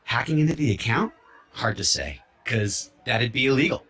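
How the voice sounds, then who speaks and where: An actor playing a guarded, evasive company employee in a video game. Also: male voice, mid-range voice, expressive, normal speed, a bit bright, breathy edge, soft background music. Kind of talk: video game dialogue acting.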